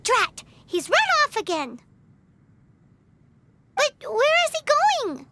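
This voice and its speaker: A woman voicing a tiny chirpy fairy girl in an animated game, bossy and eager, with a squeaky childlike voice. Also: slow, heavy background noise, heavily acted. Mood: instructive. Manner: speaks of self in third person. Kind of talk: animated game dub acting.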